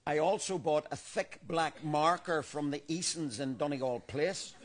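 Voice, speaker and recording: An actor with a Northern Irish accent, from Belfast, playing a paranoid, bigoted older grandfather who talks in a deadpan, matter-of-fact way. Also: on the high side, unhurried, lightly acted. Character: paranoid bigoted old grandfather, defensive and unhinged. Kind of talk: comedy sketch acting.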